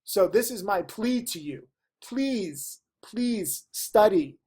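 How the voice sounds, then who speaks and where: A stuffy man who is losing his voice, talking in a dark, mellow tone. He teaches emphatically and presses his listeners again and again to put in the work. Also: high-pitched, lively intonation, slow pace, breathy edge. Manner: emphatic, pleading. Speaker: trading teacher. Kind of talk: direct-to-camera lesson.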